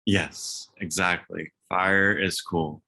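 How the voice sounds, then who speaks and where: A man plays a laid-back forensic technician with a low, forward, bright voice. He talks slowly and dryly in a comedy table read, hesitant and faintly exasperated. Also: fairly even intonation, vocal fry, full character voice. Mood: hesitant, easygoing.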